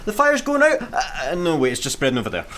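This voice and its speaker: Man playing an animated, sarcastic Scottish space engineer. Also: on the high side, fast, a little hiss or hum. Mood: excited, flustered. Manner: sarcastic, dramatic, easily flustered. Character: excitable, sarcastic male space-travelling engineer. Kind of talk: sci-fi comedy radio play acting.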